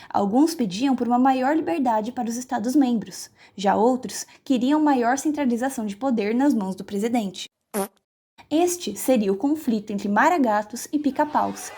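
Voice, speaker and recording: A woman's voice: fairly high pitch, warm tone, slightly rough. A woman narrating an explanatory documentary in a formal, scripted way. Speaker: narrator and street interviewer. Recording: voice-over with music behind.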